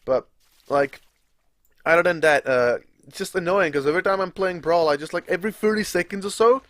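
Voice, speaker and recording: A man's voice: high voice, a little fast, a bit bright, dry recording. A young man whose first language is Czech, rambling casually over gameplay, swearing freely and laughing at himself. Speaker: gaming video creator. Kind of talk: casual rambling live commentary.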